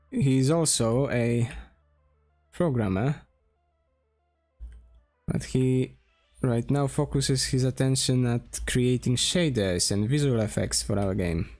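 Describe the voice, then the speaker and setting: A man with a Polish accent talks slowly as he draws, thinking aloud with many pauses and restarts. His voice sits at an ordinary middle pitch. Quiet music plays faintly behind him. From Poland. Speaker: streamer and game developer. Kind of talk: casual drawing stream talk.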